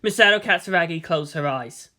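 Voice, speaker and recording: A man's voice: on the high side, a little fast, pushed and bright. A man giving a dramatic scripted narration of a fan fiction story.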